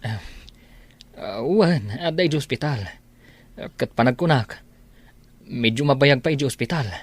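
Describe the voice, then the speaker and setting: A man acting in a radio drama as a worried family friend with a halting, hesitant way of talking. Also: medium pitch, animated, dry recording. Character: hesitant, worried male family friend breaking bad news.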